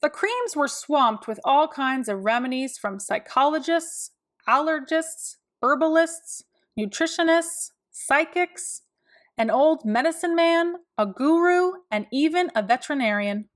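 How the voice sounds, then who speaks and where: A woman reads a children's story aloud in a high voice. Her pace is very slow, and her delivery is expressive, made for young listeners.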